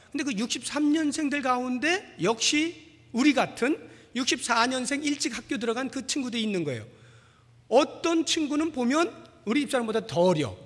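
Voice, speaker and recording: Animated, husky preacher in a reverberant hall. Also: male voice, sits high. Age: 40s. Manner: conversational, self-deprecating storytelling. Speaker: pastor. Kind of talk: sermon with personal anecdotes. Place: large reverberant church hall.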